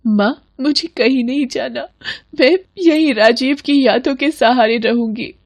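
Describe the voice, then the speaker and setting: A woman voicing a gentle, heartbroken young daughter-in-law, plaintive and pleading, in an animated family melodrama. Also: high voice, measured pace, no room sound, studio quality, voice pushed up. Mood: sad, resolute.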